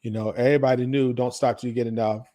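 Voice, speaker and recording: Male podcast co-host, informal and slangy, mid-pitched voice, loose conversational delivery. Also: fairly even intonation, quick pace, dark tone. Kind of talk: casual podcast chat.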